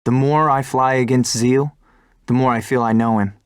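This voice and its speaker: A man's voice: medium pitch, fairly even intonation, moderate pace, clean tone, dry recording, clean studio sound, close to an everyday voice. Earnest young fighter pilot, played by a man. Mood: brooding, resolute. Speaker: fighter pilot protagonist and narrator. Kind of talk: video game cutscene narration and dialogue. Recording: music behind the voice.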